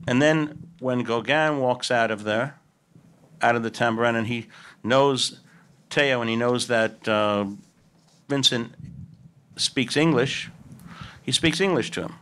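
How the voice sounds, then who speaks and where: A man's voice: mid-range voice, a bit bright, clear, resonant tone. Man musing through a film Q&A. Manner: musing, answers his own rhetorical questions. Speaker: film director at a Q&A. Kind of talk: casual panel Q&A answers.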